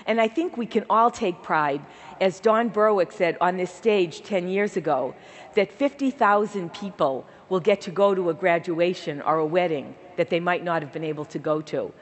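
A woman's voice: fairly low pitch, moderate pace. A woman in her sixties with a slight Boston accent, giving an earnest keynote address on a large conference stage. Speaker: keynote speaker, organization leader. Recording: large hall reverb.

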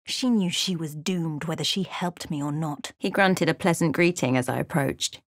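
An actress playing a watchful, introspective female fantasy adventurer, narrating her journey in measured, literary game prose. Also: low voice, no room sound, studio quality. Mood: tense, troubled. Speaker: video game character. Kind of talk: first-person past-tense scripted game narration.

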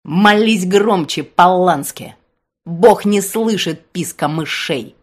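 A woman's voice: fairly low pitch, slow, husky. A woman voicing a cruel, taunting teenage school bully with a mocking manner, in an audiobook. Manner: mocking, sneering.

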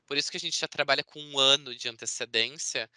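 A man's voice: mid-range voice, fast, crowd noise. A man with a Brazilian accent giving a chatty, explanatory webinar presentation over a video call. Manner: chatty, explanatory, often seeking confirmation with tag words. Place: indoor room on a video call. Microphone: video call microphone.

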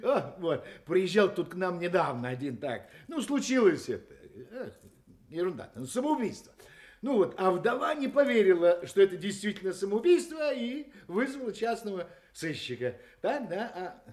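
An actor in a radio play voicing a gossipy, cynical, hard-drinking hotel detective who mutters, chuckles and rambles. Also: male voice, high-pitched, big pitch swings, normal speed, a little mellow. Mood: animated, indignant. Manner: rambling, muttering, chuckling, full of interjections and tag questions. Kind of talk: Soviet-style radio play acting.

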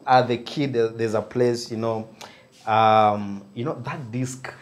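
A man's voice: medium pitch, steady tone, unhurried, a little hiss or hum. A man in his thirties with a Kenyan accent, hosting a casual studio interview on a lapel mic and keeping up eager praise. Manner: enthusiastic, repeats praise words. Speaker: talk show host. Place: small indoor studio.

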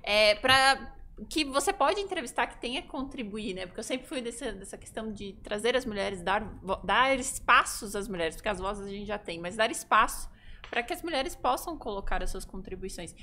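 A woman's voice: fairly high pitch, animated, normal speed, pushed and bright, a little hiss or hum. A woman co-hosting a podcast in a studio, reflecting in an upbeat, casual way. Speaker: co-host.

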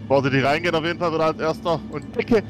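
A man's voice: fairly high pitch, fairly even intonation, fast talker. A man playing a tabletop game, talking casually through his character's moves. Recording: music playing behind.

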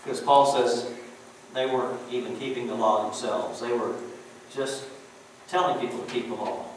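A man's voice: mid-range voice, fairly even intonation, moderate pace, a little hiss or hum. Middle-aged male pastor, homespun conversational sermon, echoey distant church room. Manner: folksy, conversational preaching with chuckles and "you know". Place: church sanctuary.

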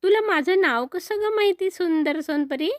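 A woman's voice: very high-pitched, animated, normal speed, no room sound, clean studio sound, pitched above the performer's own voice. A woman voicing a sweet, wide-eyed little boy in a children's story, eager and affectionate. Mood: amazed.

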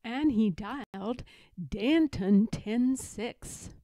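A woman reading a children's picture book aloud in a deliberate, expressive storytelling manner. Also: on the high side, dry recording. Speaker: storybook reader.

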